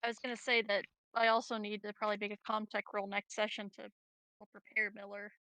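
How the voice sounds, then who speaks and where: A woman's voice: medium pitch, level tone, fast talker, sharp tone, clean tone, no room sound, poor audio. A woman chatting casually as a player in a tabletop game session. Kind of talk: casual chat.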